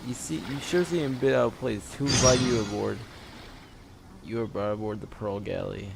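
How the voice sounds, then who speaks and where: A man doing casual gameplay commentary, reading dialogue aloud and reacting in an informal, halting way. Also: on the low side, steady tone, creaky, noisy background. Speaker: gameplay streamer.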